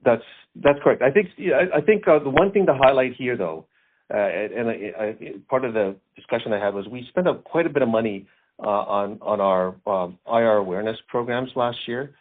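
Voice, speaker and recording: A man speaking as a finance executive, answering questions on an earnings call in a halting way, repeating words as he goes. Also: medium pitch, animated. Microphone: conference call line. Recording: thin phone-like conference call line.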